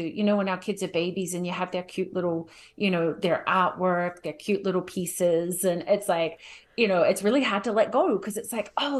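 A middle-aged woman from New Zealand with a New Zealand accent, chatting informally as an interview guest and often checking in with "right?". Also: on the low side. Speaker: podcast guest, home organizer. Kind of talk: casual conversational interview.

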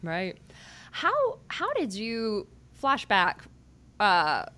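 A woman's voice: medium pitch, huge pitch swings, extremely slow, bright, forceful tone. A woman with a mid-range voice hosts a sports podcast interview. She speaks earnestly, often stopping and restarting her sentences as she works out her thoughts. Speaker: sports beat reporter and podcast host. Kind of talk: conversational interview.

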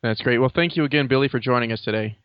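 Casting: male interview host, casual, average pitch, slightly lo-fi recording. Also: racing, a little hiss or hum. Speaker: podcast interviewer.

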